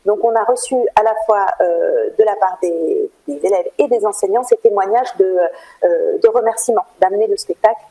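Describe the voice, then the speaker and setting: A woman with a French accent from France gives earnest, explanatory answers as a radio interview guest, pausing with hesitation as she goes. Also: medium pitch, warm tone, slightly rough, rough recording. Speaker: interview guest, cultural programme manager at a theatre.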